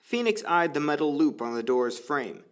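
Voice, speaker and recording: A man's voice: a little high, sing-song, moderate pace, clear, resonant tone. A man reading scripted story narration for an audio drama. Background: faint music.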